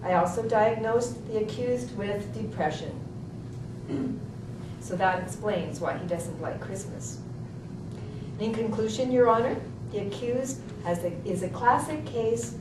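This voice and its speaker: A woman's voice: mid-range voice, a little slow, close to an everyday voice. Echoey, distant woman acting as a composed psychologist witness. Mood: composed, formal. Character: composed female psychologist testifying as expert witness. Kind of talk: amateur stage play acting. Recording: echoey distant room recording, clear background noise.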